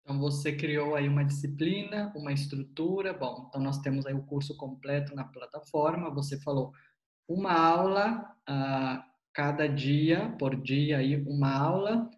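Animated man in an echoey room. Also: fairly high pitch, normal speed, lo-fi sound. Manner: animated, exclaims and repeats words. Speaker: interviewer for an online language course. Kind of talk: casual interview.

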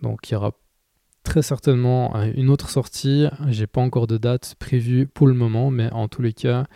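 A man's voice: medium pitch, fairly even intonation, normal speed, vocal fry, warm tone, dry recording. A man hosting a podcast, giving an informal outro with lots of hesitations, recorded close and dry with faint music underneath. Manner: informal, hesitant with frequent fillers. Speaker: podcast host.

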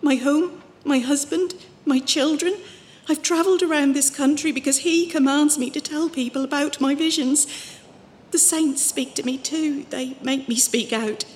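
A woman's voice: sits high, moderate pace, natural voice. A woman acting in an audio drama as a fervent, fearful wandering visionary, speaking with earnest, pious emotion. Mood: fervent, troubled. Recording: reverberant hall, faint background noise.

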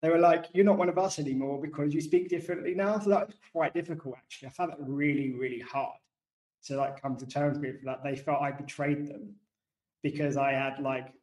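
A man in his thirties with a softened working-class British accent, chatting casually as a guest about his work, self-deprecating and given to digressions. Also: fairly high pitch. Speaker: guest author. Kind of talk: casual interview talk. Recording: echoey room.

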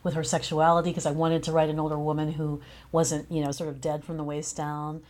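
Female interview guest, low register, conversational, effusive and emphatic in praising collaborators. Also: level tone, fairly quick, darkish tone. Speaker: guest, playwright and director.

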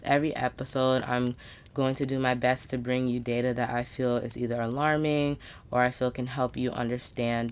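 A woman in her twenties hosting a solo podcast, talking in a casual, slangy, upbeat way with emphatic asides. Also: extremely low pitch. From United States. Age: 20s. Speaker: podcast host.

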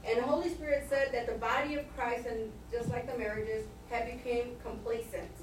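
A woman with a fairly high voice shares a spiritual message haltingly, reading from her notes. She sounds distant in a reverberant church, with steady noise behind her. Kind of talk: sharing a word at a church service. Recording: distant, echoey, noisy church room.

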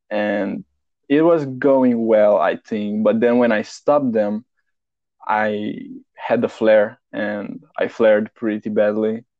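A man's voice: on the low side, dark tone, dry recording, dull audio. A man in his twenties from Romania, a guest talking frankly and plainly in a casual interview, often repeating words while he thinks. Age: 20s.

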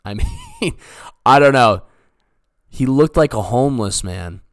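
A man's voice: medium pitch, big pitch swings, unhurried, no echo, studio quality. A man hosting a casual solo comedy podcast, talking in a rambling, jokey, sweary way like a stand-up. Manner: rambling, profane, jokey self-interrupting delivery. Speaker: podcast host.

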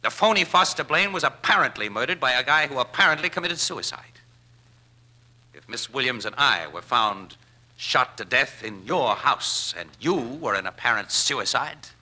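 An actor playing a wisecracking, shrewd crime photographer in a 1940s radio drama, talking in a brash, colloquial way. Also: male voice, mid-range voice, big pitch swings, normal speed, sharp tone, heavily acted. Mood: confident, accusing.

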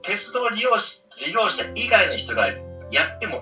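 A man's voice: high voice, very animated, moderate pace, bright, forceful tone, soft background music. A man co-hosting a casual chat, earnestly explaining his points and seeking agreement. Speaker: talk show co-host. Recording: muffled, roomy, distant from mic.

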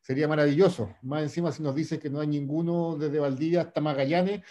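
A man's voice: a little high, steady tone, fairly quick, mellow. A man moderating an online discussion, enthusiastic and rambling.

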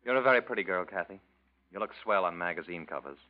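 A man's voice: low-pitched, lively intonation, fast talker. An actor playing a cynical, bitter young male artist in a 1940s radio drama, sardonic and clipped, on a tinny old recording.

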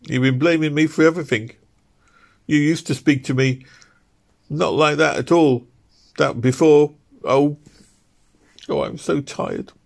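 A man's voice: lowish voice, darkish tone, pitched above the performer's own voice, lightly acted. A man voicing a weary, poor young wife who speaks in a plaintive, sobbing way. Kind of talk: audiobook character reading.

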